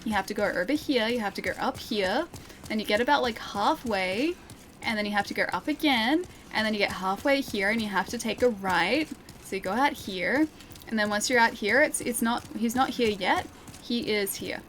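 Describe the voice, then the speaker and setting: A woman's voice: on the high side, expressive, normal speed, a bit bright, a little hiss or hum. A young woman from Australia streaming a video game, chatting casually and giggling as she talks to her viewers. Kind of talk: casual live-stream commentary.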